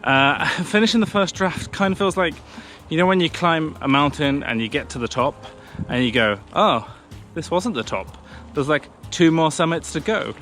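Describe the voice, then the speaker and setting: A man's voice: mid-range voice, sharp tone. A man in his thirties talking casually to a camera clipped on a pushchair as he walks along a country path, chatty and self-deprecating. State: out of breath pushing uphill. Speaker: vlogger. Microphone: camera on a pushchair, walking.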